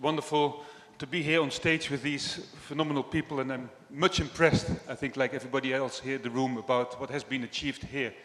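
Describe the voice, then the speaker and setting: A man from the Netherlands, chairing a panel in a relaxed, chatty way with frequent ums. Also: mid-range voice, brisk, few pauses. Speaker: session chair. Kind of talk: conversational panel hosting. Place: large hall on stage. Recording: echoey hall with long reverb.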